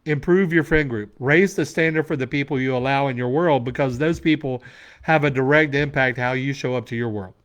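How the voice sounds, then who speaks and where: A man's voice: medium pitch, brisk, a bit bright. A man in his forties giving a blunt, emphatic motivational talk as a men's coach, swearing freely to a room. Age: 40s.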